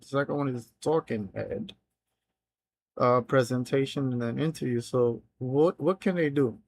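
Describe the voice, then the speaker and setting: A man whose first language is Spanish, streaming live as a developer, reading plans aloud and thinking through them with frequent restarts and trailing phrases. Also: medium pitch. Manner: halting, reads plans aloud with many restarts.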